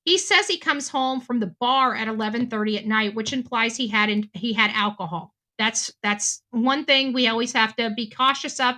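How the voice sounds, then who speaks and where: A woman's voice: on the high side, bright, forceful tone. A woman giving unscripted, opinionated true crime commentary in an emphatic way, repeating phrases for stress.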